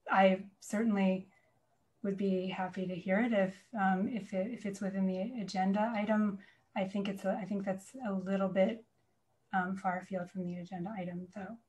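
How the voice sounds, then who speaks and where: Measured woman chairing a formal meeting. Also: mid-range voice, flat delivery, a little mellow, lo-fi sound. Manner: measured, deferential, hedging. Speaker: board chair running a meeting. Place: indoors, on a video call. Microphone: video call.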